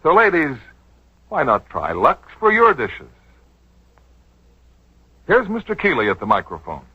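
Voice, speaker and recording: A man's voice: on the high side, huge pitch swings, measured pace, husky, no room sound. A man announcing a scripted 1940s radio commercial with an emphatic sales delivery. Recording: dull 1940s radio broadcast recording.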